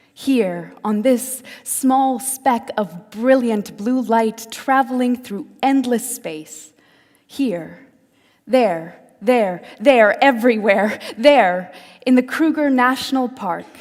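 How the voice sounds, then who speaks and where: Slow, theatrical woman poet in a reverberant hall. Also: medium pitch. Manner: theatrical, deliberate phrasing with dramatic pauses and hushed drops. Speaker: spoken-word poet. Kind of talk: spoken-word poetry performance. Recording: echoey hall with long reverb.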